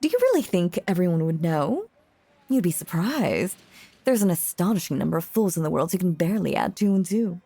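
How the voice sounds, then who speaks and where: A woman playing a seductive, possessively doting female stage magician in an intimate audio roleplay, theatrical and teasing with a soft, breathy voice. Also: lowish voice, big pitch swings, a little fast, dry recording, full character voice. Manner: theatrical, flirtatious, silky and teasing.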